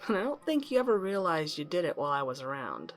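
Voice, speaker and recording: A woman's voice: deep voice, very animated. A woman playing a wistful professor, narrating tenderly and intimately to a loved one in an audio drama. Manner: measured, intimate, reflective. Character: tender, wistful female professor narrating to her lost love. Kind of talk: dramatic first-person narration. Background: music under the voice.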